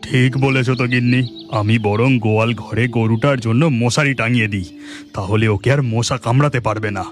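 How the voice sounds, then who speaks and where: Man playing a kindly village farmer, medium pitch, homely manner, cartoon dialogue, music behind. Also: a little fast, close to an everyday voice. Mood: agreeable. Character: kindly village husband and cow keeper. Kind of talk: children's cartoon voice acting.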